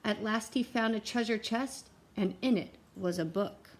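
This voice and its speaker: A woman reading a picture book aloud to young children in an expressive way, with simple asides to her listeners. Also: medium pitch, normal speed, a little hiss or hum. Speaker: read-aloud teacher and storyteller.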